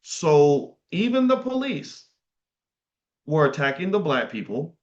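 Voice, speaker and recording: A man's voice: on the high side, big pitch swings, takes it slowly. A middle-aged man reading passages from a history book aloud and stopping to comment on them in a casual way. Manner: reads passages and breaks off to comment. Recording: dull, slightly lo-fi sound.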